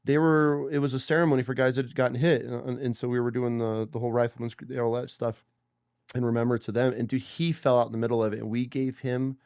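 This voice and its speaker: A man's voice: mid-range voice, little pitch movement, fast, a little mellow, clear, resonant tone, no echo, clean studio sound. A man hosting a podcast, chatting casually in a blunt, profane, slangy way like a military veteran. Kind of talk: casual chat, war-story banter. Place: close-miked dry studio.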